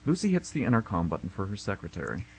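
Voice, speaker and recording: A man's voice: on the low side, huge pitch swings, quick pace, vocal fry, breathy edge, rough recording. A man narrating a radio play, reading out the stage directions.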